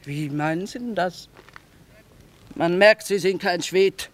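A woman's voice: fairly low pitch, takes it slowly. A middle-aged woman hardened by war, a mother who trades to survive. She speaks bluntly, with earthy, sardonic bite. The delivery is stage-like radio drama. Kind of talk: theatrical radio drama acting.

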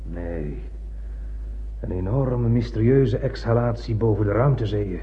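A man's voice: fairly low pitch, unhurried, slight background noise, lightly acted. Muffled old radio play, male actor as obsessed blind space captain, older, declamatory, poetic, fanatical. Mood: grand, commanding. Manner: declamatory, poetic, swinging from hushed to ranting. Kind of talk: theatrical radio drama monologue.

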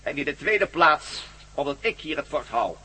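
A man's voice: a little high, big pitch swings, normal speed, bright, forceful tone, slightly rough, full character voice, poor audio. An actor playing a commanding villain captain in a radio drama, mocking and sardonic, gloating over his plans to rule the world. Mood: smug gloating. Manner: mocking, sardonic, barks orders.

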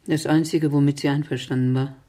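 An actress playing a grieving aunt who smokes, with a low, husky, breathy voice, speaking in a halting, hushed radio drama monologue. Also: female voice, little pitch movement. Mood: anguished, haunted. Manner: halting, hushed, dwelling on words. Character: grieving, smoking aunt remembering a deathbed.

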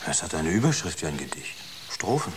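Sceptical, deep-voiced space crewman. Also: sing-song, brisk. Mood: concerned, insistent. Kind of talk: naturalistic radio drama acting. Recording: noisy radio drama recording.

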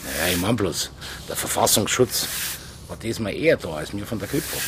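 An actor playing a matter-of-fact police detective with a laconic, clipped way of reporting, in a radio crime drama. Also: male voice, on the low side, expressive, a little fast, background noise, close to an everyday voice. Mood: musing.

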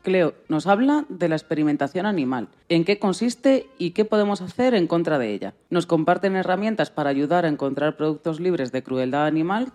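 A woman's voice: fairly low pitch, a little mellow. A woman co-presenting a show, reading scripted information aloud. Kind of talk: scripted explanatory narration.

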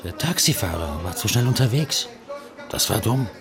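An actor playing a shady, irritable truck driver in a radio crime drama, curt, defiant and evasive. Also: male voice, lowish voice, big pitch swings, normal speed, music in the background. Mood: guarded.

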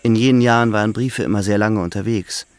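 A man narrating a literary radio drama in a measured, reflective way. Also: fairly low pitch.

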